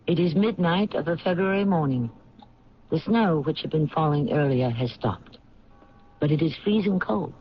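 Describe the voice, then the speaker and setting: A man's voice: on the high side, lightly acted. A man narrating a radio mystery in a dramatic, scripted storytelling style. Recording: muffled, noisy old radio drama recording.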